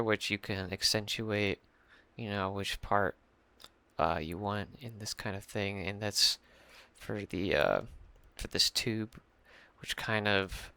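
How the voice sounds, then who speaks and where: Gravelly low-voiced young man. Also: sharp tone, dry recording. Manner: rambling, many fillers and restarts. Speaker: music producer explaining a mix. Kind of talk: casual screen-share tutorial. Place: small room at a computer.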